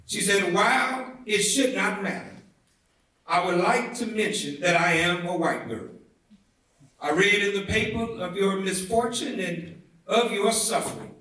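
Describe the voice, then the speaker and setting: A man giving a dramatic, oratorical reading of a speech, with deliberate, measured phrasing and emphatic swells. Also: sits high, bright, forceful tone. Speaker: reader at a commemorative event. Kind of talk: dramatic reading of a historic civil rights speech. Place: indoor theatre event, heard from a distance. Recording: echoey indoor hall, far from the mic.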